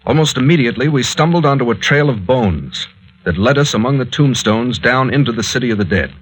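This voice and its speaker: An actor with an American accent playing a fearless, commanding adventurer captain in a terse, urgent 1940s radio drama style. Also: male voice, mid-range voice, animated, brisk, husky, low-quality recording. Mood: grave recounting. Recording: vintage 1940s radio recording.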